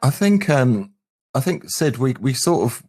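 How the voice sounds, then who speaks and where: A man's voice: medium pitch, sing-song, a little slow, a little mellow. A middle-aged man, talking earnestly and thoughtfully as a musician being interviewed. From England. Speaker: band singer and guitarist, interview guest. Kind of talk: reflective interview talk.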